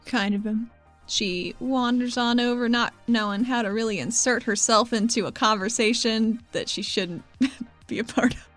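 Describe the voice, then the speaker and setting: A woman's voice: a little high, steady tone, moderate pace, a bit bright. A woman playing a tabletop role-playing game, casually improvising narration in a playful, informal way. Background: background music.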